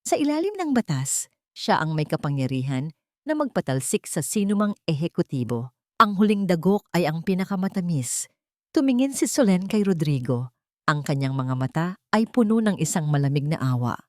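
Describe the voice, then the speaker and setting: A woman with a Filipino accent narrates a scripted audio drama in a measured, solemn, theatrical way. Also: on the low side, sing-song, darkish tone, no room sound, studio quality.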